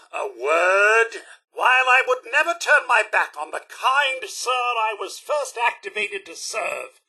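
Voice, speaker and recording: A prim, loyal robot butler from a video game, speaking in a high British voice with a raspy edge. The delivery is theatrical and swoops widely in pitch. Every phrase is formal and fussy, as from a servant who is easily wounded. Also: male voice, sharp tone, full character voice. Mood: insistent. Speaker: companion character in a video game.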